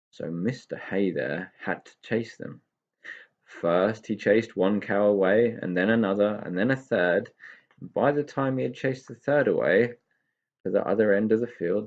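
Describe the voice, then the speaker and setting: A man reading a children's story aloud with expressive narration. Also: on the low side, creaky, slightly rough, dull audio.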